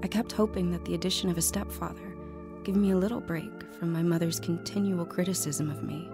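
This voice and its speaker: A woman's voice: lowish voice, fairly even intonation, fairly quick, music in the background, close to an everyday voice. An actress playing a lonely, anxious young woman narrating her life story in an audio drama. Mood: reflective, sad. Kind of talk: first-person dramatic narration.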